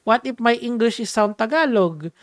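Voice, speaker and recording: A man's voice: very high voice, expressive, moderate pace, no room sound, studio quality. A young Filipino man with a Filipino accent giving playful, casual commentary, switching between Tagalog and English with many fillers and restarts. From Philippines. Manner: playful, code-switching, frequent fillers and restarts, shouts "Hey!". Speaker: commentary vlogger.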